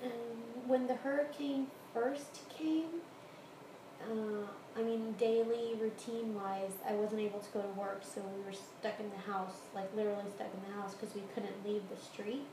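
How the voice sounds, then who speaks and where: An American woman speaks casually as an interviewee, recounting things from her own life. Her pitch sits in the middle of the range. The recording is made in a home, with a roomy echo and clear background noise. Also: steady tone, normal speed. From Houston area, Texas, United States. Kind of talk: casual interview answers, recounting personal experience. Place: room in a home.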